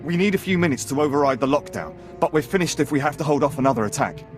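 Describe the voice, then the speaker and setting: A man voicing an anxious, deferential warship officer in a video game, formal and hurried. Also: fairly high pitch, expressive, faint music behind, natural voice.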